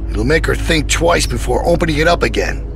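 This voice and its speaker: Menacing, gravelly community leader. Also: male voice, a little high, lively intonation, soft background music. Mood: cold menace. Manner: preachy, measured, folksy menace. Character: menacing middle-aged male community leader, calm and domineering. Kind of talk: dramatic video game voice acting.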